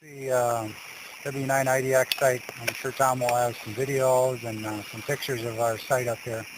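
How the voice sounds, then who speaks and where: A man's voice: medium pitch, level tone, normal speed. An American man in casual radio chat as an amateur radio operator, talking outdoors. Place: outdoors at a hilltop campsite. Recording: noisy outdoor camcorder audio.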